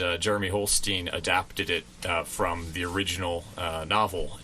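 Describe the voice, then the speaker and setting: Male radio theater guest, deep and crisp-edged, casual rambling talk, light background noise. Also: sharp tone. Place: college radio station.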